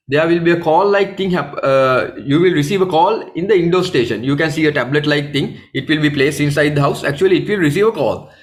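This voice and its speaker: A man with a Sri Lankan accent leads a technical webinar. His voice sits a little high for a man. He explains things plainly, with halting phrasing and frequent repetition. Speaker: technical trainer. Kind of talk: live webinar training presentation.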